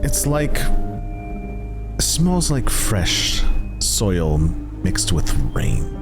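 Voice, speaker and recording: A man runs a tabletop game with cinematic narration. His voice is low and lively in inflection, taking its time over dramatic phrases while loud music plays behind. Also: very slow. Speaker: game master.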